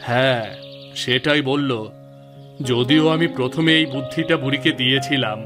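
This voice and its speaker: A man's voice: medium pitch, fairly even intonation, moderate pace, music in the background, lightly acted. A man voicing a practical, good-natured village husband in scripted cartoon dialogue. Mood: explaining. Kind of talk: scripted cartoon dialogue in a Bengali folk tale.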